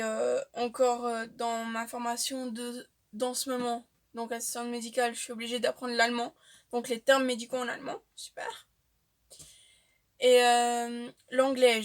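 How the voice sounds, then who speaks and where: A young woman, chatting casually to the camera about herself with frequent filler pauses. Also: on the high side. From Portuguese-speaking family, raised in Switzerland. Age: young adult. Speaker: vlogger. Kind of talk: casual facts-about-me vlog.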